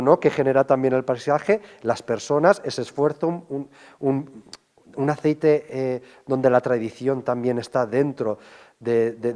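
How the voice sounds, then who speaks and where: A man's voice: medium pitch, darkish tone. A man conducting a conversational on-location television interview, speaking in an effusive, complimentary way.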